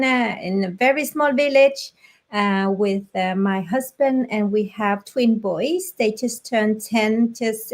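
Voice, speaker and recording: A middle-aged woman from Vietnam casually sharing her personal story as a guest on a live video call. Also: a little high. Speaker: guest, business coach and part-time teacher.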